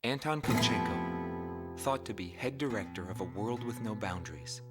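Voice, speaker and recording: A man playing a sober reporter, narrating a war documentary in a game with solemn, deliberate delivery. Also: fairly low pitch, expressive, over loud music, natural voice. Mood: factual.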